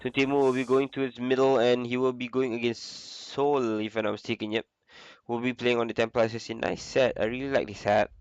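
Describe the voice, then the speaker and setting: Young man, flu, casual game caster, smooth, dry, muffled sound. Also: medium pitch, level tone, no echo. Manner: casual, easygoing, with filler pauses. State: sick with flu. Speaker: esports caster. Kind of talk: solo game commentary.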